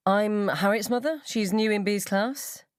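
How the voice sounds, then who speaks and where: Female reader as clear, confiding school mum for radio story, raised pitch, friendly yet oddly knowing. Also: unhurried, no echo, clean studio sound, natural voice. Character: friendly, enigmatic, over-familiar woman, a schoolgirl's mother.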